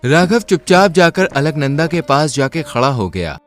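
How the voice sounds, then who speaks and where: A man narrating a scripted moral cartoon story in a steady storytelling manner. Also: on the high side, moderate pace, faint music behind.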